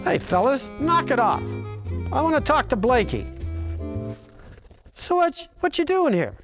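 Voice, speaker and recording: A man playing a slangy, animated war-veteran piano player, an old pal in a 1940s-style detective parody. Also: very high-pitched, measured pace, full character voice. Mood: hearty.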